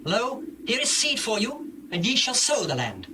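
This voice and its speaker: A high-pitched, animated governor of ancient Egypt, acted by a man in a dramatized scripture reading. He proclaims earnestly, with authority and respect. Noisy ambience sits behind him. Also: normal speed, heavily acted. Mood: commanding, benevolent.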